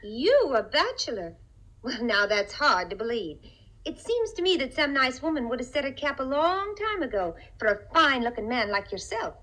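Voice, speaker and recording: A woman's voice: high voice, sing-song, normal speed, a bit bright, poor audio. An actress playing a chatty, kind-hearted young working woman in a 1950s radio drama, effusive and earnest. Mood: coaxing, reassuring. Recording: vintage radio recording with music.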